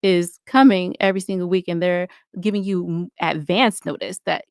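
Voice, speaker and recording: A woman's voice: fairly low pitch, fairly even intonation, normal speed, vocal fry, a little mellow, no room sound, clean studio sound. A woman podcaster speaking conversationally as an interview guest, giving advice in an emphatic, opinionated way.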